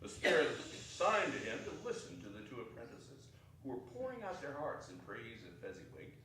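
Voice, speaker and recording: A man's voice: medium pitch, wildly swooping pitch, brisk, a bit bright. A man reading scripted narration in a theatrical style. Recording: noisy echoey hall from far off.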